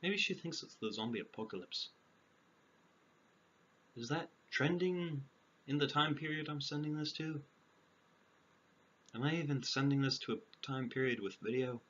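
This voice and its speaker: A man reading a fairy tale aloud, breaking in with dry, sarcastic deadpan asides. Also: mid-range voice, normal speed, pauses often. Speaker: storyteller. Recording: dull lo-fi audio, clear background noise.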